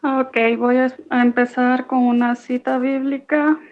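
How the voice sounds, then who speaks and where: Woman from Guatemala, phone caller, hesitant testimony, flat delivery, lo-fi line. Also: high voice, a little slow.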